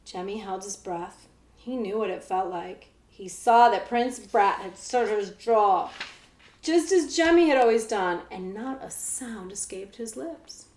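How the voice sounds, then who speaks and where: A woman reading a children's storybook aloud as a librarian, with expressive narration. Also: mid-range voice, unhurried. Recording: echoey room.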